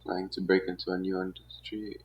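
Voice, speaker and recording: A man's voice: low-pitched, level tone, fairly quick, breathy edge. A man muttering offhand commentary as he streams a video game. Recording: muffled mic, loud background noise.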